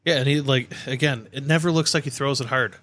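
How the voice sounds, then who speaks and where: A man co-hosting a podcast, casually agreeing and asking questions in a relaxed sports chat. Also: medium pitch, a little fast. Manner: laid-back, agreeable, asks questions. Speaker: podcast co-host.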